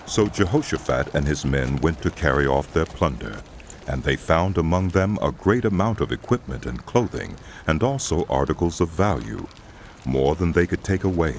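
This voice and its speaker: A man narrating scripture as a scripted drama, telling the story in a solemn, measured way. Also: extremely low pitch, expressive, slight background noise.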